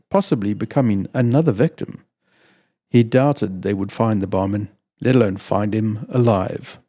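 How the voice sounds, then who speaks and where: A man works as a storyteller, presenting fiction to listeners. His voice sits at a middle pitch with a dark, relaxed tone. A gravelly fry runs through his delivery. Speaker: storyteller, channel host reading own fiction. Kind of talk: casual introduction, then audiobook-style story narration.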